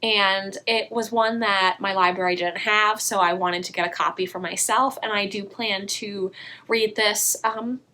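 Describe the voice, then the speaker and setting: A young woman, a little hoarse, chatting eagerly about books as a vlogger. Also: mid-range voice, steady tone, pushed and bright, slight background noise. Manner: chatty, enthusiastic, quick asides. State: slightly hoarse. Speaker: book vlogger. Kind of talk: casual book haul vlog.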